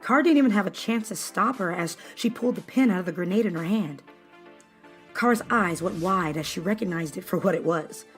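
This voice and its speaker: A woman's voice: lowish voice, fairly quick. A woman reading scripted fan fiction as a dramatic narrator. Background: background music.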